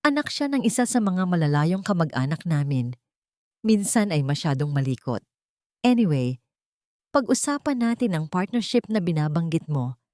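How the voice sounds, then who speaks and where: A woman's voice: fairly low pitch, sing-song, moderate pace, mellow, no room sound. A rich older matriarch and villain, played by a woman narrator, greedy and falsely sweet. She brags and brushes things off in soap-style storytelling, recorded very dry. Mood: ingratiating. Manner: falsely sweet, boastful, dismissive.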